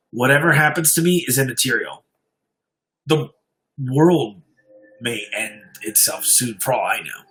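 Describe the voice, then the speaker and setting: A man playing a haunted, fatalistic survivor giving a grave, deliberate first-person horror account with dramatic pauses. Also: mid-range voice, sharp tone, rough recording. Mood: foreboding.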